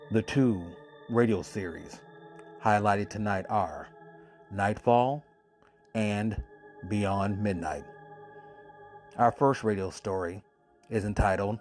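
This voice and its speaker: A man's voice: fairly low pitch, darkish tone. A man hosting a horror radio podcast, introducing episodes in an enthusiastic, emphatic way. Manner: enthusiastic, emphatic, drawn-out words. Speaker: podcast host.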